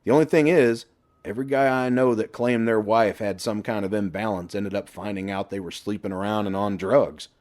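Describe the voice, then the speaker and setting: A man's voice: fairly low pitch, steady tone, moderate pace, a little mellow, close to an everyday voice. A man voicing a crude, sarcastic middle-aged best friend who needles and teases in an audiobook story. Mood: sardonic teasing. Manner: sarcastic, teasing.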